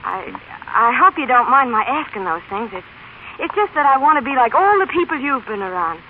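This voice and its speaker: An actress playing an eager, insecure working-class young woman, halting and earnest, in a scratchy 1930s radio drama. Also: fairly high pitch, big pitch swings, narrow, tinny audio. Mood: eager, yearning.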